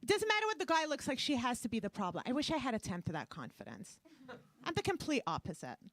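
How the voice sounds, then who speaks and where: A woman's voice: high-pitched, very animated, quick pace, fairly bright tone, dry recording. A woman from Canada with a Brooklyn-sounding accent, performing a stand-up comedy set on a club stage, delivering brash, self-mocking punchlines into a handheld mic. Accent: Brooklyn-sounding English. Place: club stage before a live audience.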